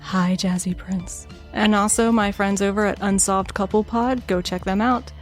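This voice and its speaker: A woman hosting a true crime podcast, reading scripted narration in a soothing, measured way. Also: mid-range voice, steady tone, faint music behind. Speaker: podcast host.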